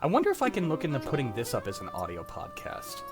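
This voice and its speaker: Young male stream host, mid-range voice, chatting casually and obligingly over clearly audible music. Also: medium pitch, huge pitch swings, fast talker. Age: young adult. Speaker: host of a live script-reading stream. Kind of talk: casual post-show group chat.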